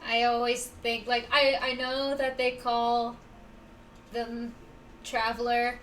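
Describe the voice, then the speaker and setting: A woman's voice: on the high side, flat delivery, slow, fairly bright tone, distant mic, slight background noise, rough recording. A woman streamer casually reacting to a game live, interjecting and talking back to it.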